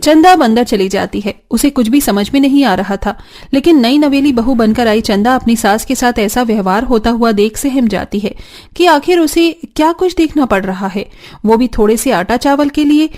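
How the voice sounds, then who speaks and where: A woman's voice: fairly high pitch, lively intonation, moderate pace, a little mellow. A woman reading dramatic scripted narration for a cartoon family story.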